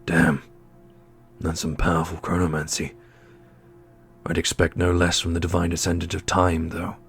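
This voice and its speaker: A man's voice: low voice, monotone, normal speed, gravelly, husky, faint music behind, heavily acted. A man playing a casual, irreverent young adventurer in a fantasy audio drama, with a wry way of talking. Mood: amazed, wry.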